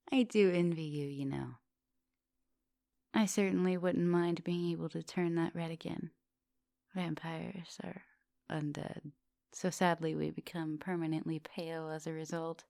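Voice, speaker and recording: A woman playing a seductive, gentle vampire professor in an intimate ASMR roleplay, murmuring and whispering coaxingly up close. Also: sits low, fairly even intonation, measured pace, long pauses, dry recording. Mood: teasing, wistful. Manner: breathy murmurs and whispers, coaxing pet names. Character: seductive female vampire professor, hungry yet gentle and polite. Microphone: close microphone.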